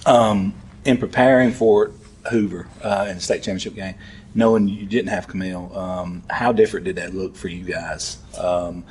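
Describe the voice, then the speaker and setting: A man hosting a sports talk show, asking drawn-out, hesitant questions. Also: deep voice, fairly even intonation, darkish tone, a little hiss or hum. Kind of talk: interview questions.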